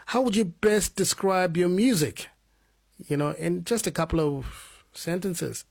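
A man hosting a radio interview, easygoing and encouraging, with soft "mm" backchannels. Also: high-pitched, unhurried, vocal fry. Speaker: radio host.

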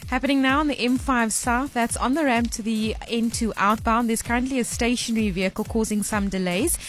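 A woman with a South African accent reading a radio traffic report in a brisk, matter-of-fact way. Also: on the high side, steady tone, faint music behind. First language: Afrikaans.

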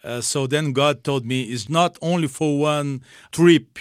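Slow-paced male interview guest. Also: fairly high pitch, no room sound, clean studio sound. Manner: simple non-native phrasing, emphatic repetition. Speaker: interview guest, missions leader. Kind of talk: personal testimony in a radio interview. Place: radio studio.

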